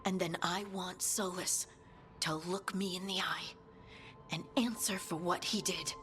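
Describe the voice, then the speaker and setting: Serious no-nonsense game companion, lowish voice, bit of music behind. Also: female voice, fairly even intonation, unhurried, fairly bright tone. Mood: indignant. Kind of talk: dramatic video game dialogue.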